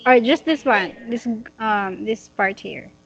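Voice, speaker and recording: A woman of twenty-nine giving a casual one-on-one English lesson in simple, halting phrases, with a giggly manner. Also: medium pitch, gravelly, muffled recording. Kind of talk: casual conversational tutoring.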